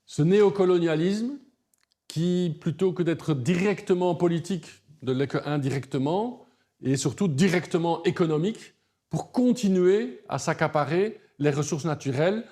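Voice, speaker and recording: A middle-aged man speaks in a mellow, slightly high voice. He is articulate and earnest, building his points with emphasis as an interview guest. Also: normal speed. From Belgium. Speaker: human rights lawyer, interview guest.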